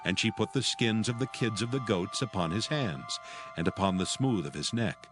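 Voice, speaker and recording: A man narrating a dramatized scripture reading in a measured, solemn storytelling manner. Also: fairly low pitch, expressive, over music.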